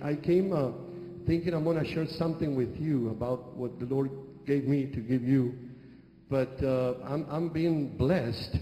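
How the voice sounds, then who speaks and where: A middle-aged man from Argentina, a native Spanish speaker with an Argentine accent, preaching a sermon as a bishop. He talks into a handheld mic, telling humorous stories with halting restarts and many fillers. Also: medium pitch, mellow. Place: large church sanctuary on a stage. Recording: echoey church hall with music.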